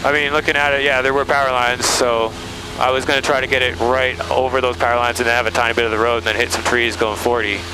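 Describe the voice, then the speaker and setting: A man's voice: mid-range voice, level tone, fast. A man flying a small plane in training, informally narrating each step aloud through a headset. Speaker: pilot being trained. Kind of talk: thinking aloud while flying. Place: small airplane cockpit in flight. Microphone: aviation headset. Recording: loud cockpit engine noise, aviation headset intercom.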